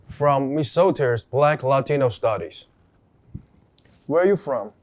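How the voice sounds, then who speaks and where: A teenage boy reads interview questions at a middle pitch in a school studio. He fills the gaps with soft murmurs of acknowledgment. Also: expressive, a little slow. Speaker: student interviewer.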